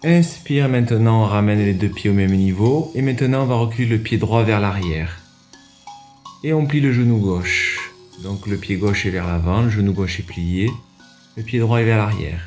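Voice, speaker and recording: A man guiding a yoga class, giving measured step-by-step instructions with pauses. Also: lowish voice, darkish tone. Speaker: yoga teacher and radio show host. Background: music under the voice.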